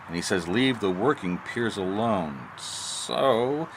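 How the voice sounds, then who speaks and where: A wry, polite private detective from a radio mystery. His low voice moves freely up and down. He is casual yet probing, with faint noise behind him. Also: lively intonation, slow pace. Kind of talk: noir radio mystery acting.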